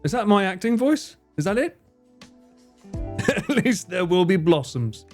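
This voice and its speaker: A man's voice: high-pitched, big pitch swings, measured pace, darkish tone, soft background music. A man streaming live, chatting casually with viewers in a joking, theatrical way and swearing freely. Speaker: streamer and cameraman.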